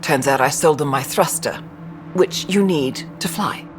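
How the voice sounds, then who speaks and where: An actress playing a stranded field scientist in a video game, with a wry, expressive delivery. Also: female voice, deep voice, unhurried, over music. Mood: rueful, wry. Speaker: scientist character.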